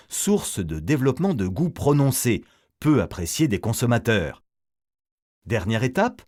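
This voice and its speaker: A male narrator delivers a scripted educational voice-over at a medium pitch. His intonation is highly animated, rising and falling widely as he explains each step in a didactic way.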